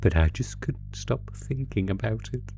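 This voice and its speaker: Man voicing a slow, deep, taunting monster. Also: expressive, vocal fry, music in the background. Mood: eager, hungry. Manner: taunting, mock-sweet, savoring, drawn-out menace. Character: sadistic predatory male monster from under the bed. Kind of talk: slow menacing horror audio roleplay, speaking to the listener.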